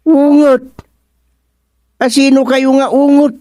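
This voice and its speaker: Man acting a slow, muttering older Ilocano father-in-law. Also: very high-pitched, little pitch movement, mellow, no room sound, clean studio sound. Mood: baffled, flustered. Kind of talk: Ilocano radio comedy drama acting.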